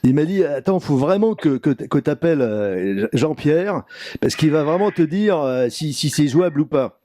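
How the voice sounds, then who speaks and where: A man playing a chatty, brash showbiz agent pitching variety acts in slangy, insistent patter on a prank phone call. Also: animated, normal speed, dark tone, slight background noise, lightly acted. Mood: chummy. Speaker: prank caller.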